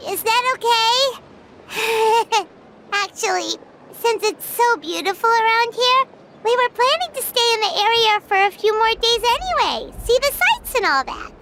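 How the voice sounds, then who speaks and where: A woman voicing a tiny, hungry, excitable fairy companion girl in a game, chirpy and speaking of herself in the third person. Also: measured pace, very noisy, exaggerated voice. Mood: cheerful. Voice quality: very high, squeaky. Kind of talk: game voice acting. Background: game music.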